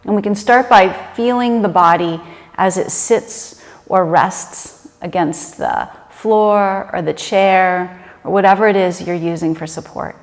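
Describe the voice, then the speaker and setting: A woman leading a guided meditation in a gentle, unhurried and conversational manner. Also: lowish voice. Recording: reverberant room with long echo.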